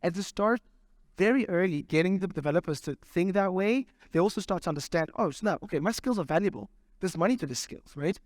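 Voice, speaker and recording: A man's voice: sits high, expressive, fairly quick, dry recording, clean studio sound. A man speaking at a conference, answering audience questions in a loose, conversational way, often checking in with the room. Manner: conversational, keeps checking in with "right?".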